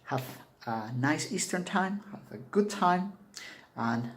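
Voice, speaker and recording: Peruvian guy w spanish accent, gravelly voice, earnest pleading spiritual talk, halting english. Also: a little high, lively intonation, noisy background. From Peru. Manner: earnest and urging, with halting non-native phrasing. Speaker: spiritual teacher. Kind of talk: earnest spoken address to camera.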